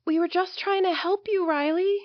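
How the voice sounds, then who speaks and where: A woman playing a caring, earnest young friend in an amateur audio drama, gently pleading and soothing. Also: very high-pitched, fairly even intonation, moderate pace, no echo. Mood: reassuring. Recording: dull, dry lo-fi home recording.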